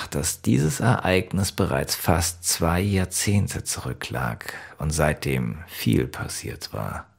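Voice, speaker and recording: Deep voiced guy reading an audiobook story, first person, measured n kinda wistful. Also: vocal fry, husky. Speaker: audiobook narrator.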